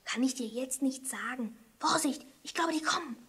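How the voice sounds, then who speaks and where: A woman's voice: high voice, moderate pace, heavy background noise, lightly acted. A woman playing a worried young Italian boy, the innkeeper's son, in a German audio drama.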